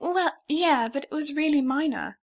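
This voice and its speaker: A woman voicing a young, trail-worn female pony brushing off concern, brisk and defensive. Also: sits high, expressive, voice pushed up. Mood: evasive.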